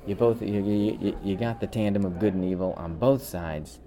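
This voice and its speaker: Male podcast co-host. Deep, dark timbre, conversational, halting delivery that circles back over words. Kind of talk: casual chat ranking favorite cartoon specials.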